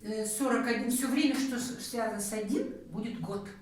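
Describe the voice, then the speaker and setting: A woman's voice: on the high side, brisk, crowd noise. A woman teaching a language lesson, patiently counting through examples and checking in with her student. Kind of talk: explaining a grammar point, counting through examples. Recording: very echoey room, voice from a distance, faint music.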